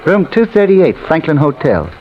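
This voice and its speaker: A man's voice: a little high, sing-song, normal speed. A man playing a furtive little go-between in a radio drama, terse, slangy and guarded. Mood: sly, guarded. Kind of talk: 1950s radio drama acting. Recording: muffled old radio recording, clear noise.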